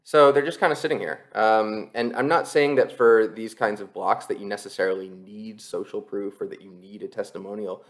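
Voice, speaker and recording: Low-voiced man presenting a tutorial. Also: fairly even intonation, moderate pace, few pauses. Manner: conversational, explains step by step. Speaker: copywriting instructor, presenter. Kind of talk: explanatory screen-share tutorial, semi-scripted.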